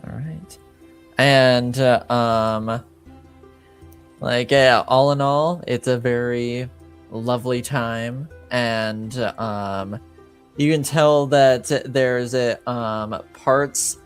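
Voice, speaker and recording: A man narrating a tabletop roleplaying game as game master, speaking casually and haltingly as he improvises. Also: medium pitch, steady tone, clean tone, faint music behind. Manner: halting, hesitant, casual phrasing.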